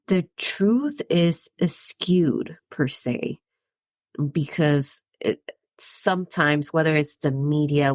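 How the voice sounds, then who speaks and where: Woman podcast host from the Dominican Republic, gravelly low-pitched voice, conversational and reflective, dry close-mic sound. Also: a little mellow, dry recording. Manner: conversational, tags points with "right?", chuckles between thoughts. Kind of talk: reflective solo podcast monologue.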